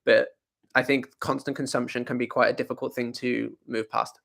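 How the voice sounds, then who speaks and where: A man's voice: medium pitch, level tone, normal speed, vocal fry, fairly bright tone. Casual young man answering viewer questions. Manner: casual, rambling, self-correcting. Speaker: host. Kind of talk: live stream Q&A, reading viewer comments.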